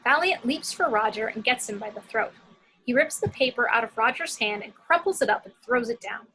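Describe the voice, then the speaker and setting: A woman's voice: a little high, animated, fairly quick, bright, forceful tone, slight background noise, rough recording. A woman reading scripted action descriptions as the narrator of a screenplay table read.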